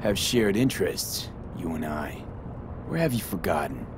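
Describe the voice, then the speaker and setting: Low-voiced, cocky streetwise mercenary guy. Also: big pitch swings, moderate pace, background noise. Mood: concerned, wry. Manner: clipped, blunt, sardonic. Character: cocky streetwise young male mercenary, blunt and wry. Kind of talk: dramatic game dialogue.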